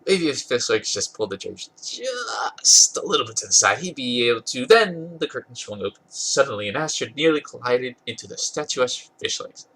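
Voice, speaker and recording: A man's voice: medium pitch, sharp tone, low-quality recording. A man with a congested voice doing an amused dramatic reading of a comic story, stumbling over words now and then and correcting himself. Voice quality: husky, congested. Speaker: podcast host reading fan fiction aloud.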